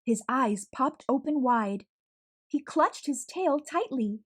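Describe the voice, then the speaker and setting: A woman reading a children's story aloud expressively, with deliberate dramatic pauses. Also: high-pitched, lively intonation. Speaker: storybook read-aloud host.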